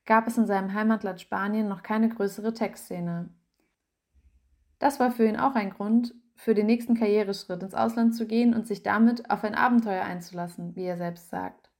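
A woman reads a written feature aloud as scripted narration. Her voice sits at a middle pitch, and her delivery stays even and measured throughout. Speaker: narrator reading an article aloud.

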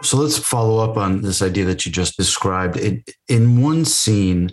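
Low-voiced male podcast host, measured and deliberate. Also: animated. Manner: measured, reads quotes then asks. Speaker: podcast interviewer. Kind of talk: prepared interview questions.

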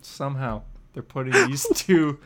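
A man's voice: medium pitch, a little slow, a bit bright, a little hiss or hum. Male podcast co-host, medium register, dry understated delivery, casual conversation. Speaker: comic book podcast co-host.

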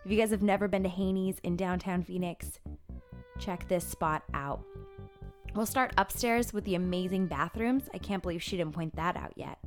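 A woman hosting a show, reading out and commenting on online bar reviews in an expressive, chatty way. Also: on the low side, moderate pace. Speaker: podcast host. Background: music.

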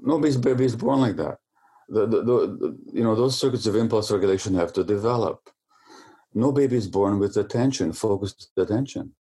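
A man with a very deep, mellow voice explains ideas at length in an interview. He talks like a lecturer, and when he restarts a thought he stumbles over the same word a few times. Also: animated, brisk, breathy edge. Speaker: interview guest.

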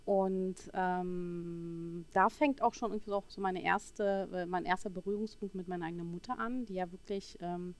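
A woman in her forties from Berlin telling her personal story as a podcast guest, halting as she searches for words. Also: lowish voice, fairly even intonation. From Berlin, Germany. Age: 40s.

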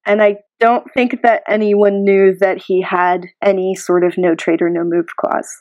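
A woman's voice: medium pitch, level tone, normal speed, no room sound, highs cut off. Woman co-host in a dry, close recording. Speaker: podcast co-host. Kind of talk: casual sports podcast chat.

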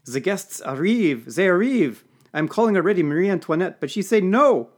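A man voicing an excitable older Belgian butler with a French accent who speaks broken English and hisses when angry. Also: high voice, normal speed, voice pushed up. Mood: panicked. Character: panicky older male Belgian butler, fiery.

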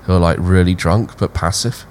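A man co-hosting a podcast, telling stories in a measured, laid-back casual way. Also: low voice, steady tone, darkish tone. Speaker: podcast co-host. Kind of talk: casual chat. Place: Thailand.

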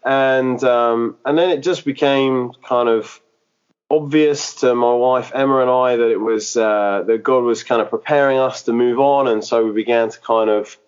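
A man's voice: mid-range voice, fairly even intonation, normal speed, clear, resonant tone, highs cut off. A man who planted a church, speaking in a podcast interview, hesitant and full of fillers and restarts. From England.

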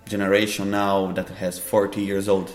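A man with a Brazilian Portuguese accent, answering interview questions in a halting way as he searches for words. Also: sits low, monotone, reverberant. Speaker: dance teacher and festival organizer.

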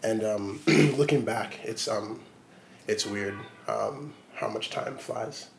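Gravelly-voiced man in his twenties. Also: on the low side, takes it slowly, slight background noise. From Portland, Oregon, USA. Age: 20s. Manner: hesitant, many ums and likes. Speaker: interviewee. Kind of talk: relaxed conversational interview.

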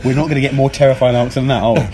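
A man's voice: mid-range voice, steady tone, very fast, warm tone, a little hiss or hum. A man hosting a podcast interview in a conversational style, putting questions to his guest.

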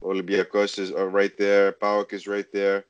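Male sports co-host, low pitch, dry close sound, casual, hesitant, measured. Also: completely flat delivery, clean tone, no room sound, lo-fi sound.

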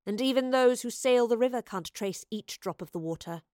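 A woman narrator puts on a menacing storybook villainess. She is smug and sneering, talking down to people with deliberate care, in a dry sound. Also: on the high side, a little mellow, no echo, close to an everyday voice. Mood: condescending. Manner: condescending, deliberate.

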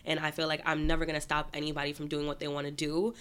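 A woman's voice: low voice, monotone, quick pace, a bit bright, smooth. A young woman talking earnestly in a casual group chat, filling her speech with "like". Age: young adult. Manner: earnest, heavy use of "like".

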